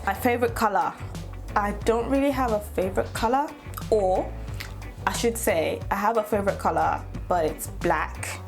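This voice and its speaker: A woman, talking casually to her camera and laughing often as she chats. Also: on the low side. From Nigeria. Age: 20s. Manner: chatty, laughs often, playful asides. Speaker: YouTuber. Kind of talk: casual get-to-know-me vlog.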